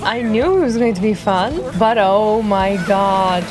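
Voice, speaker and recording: Excitable young woman streaming over music. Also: mid-range voice, unhurried. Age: young adult. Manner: excitable, playful. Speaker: video game streamer. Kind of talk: casual gaming stream commentary. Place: small indoor home room. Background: game music.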